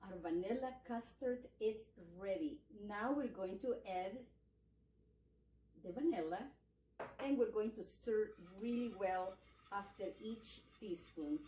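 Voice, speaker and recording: A woman's voice: medium pitch, takes it slowly, mellow, echoey room, distant mic, slight background noise, poor audio. A middle-aged woman from El Salvador, a cookbook author teaching a cooking class with enthusiastic explanations and some fillers. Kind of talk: cooking demonstration.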